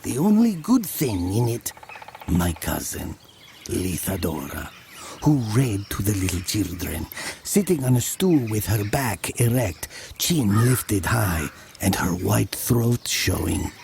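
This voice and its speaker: An actor playing a brooding village man who tells of his past in a measured, theatrical audio drama. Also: lowish voice, sing-song, gravelly, background noise, exaggerated voice. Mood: brooding, bitter. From southern Italy. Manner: slow, measured, theatrical, with pauses. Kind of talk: dramatic first-person audio drama narration.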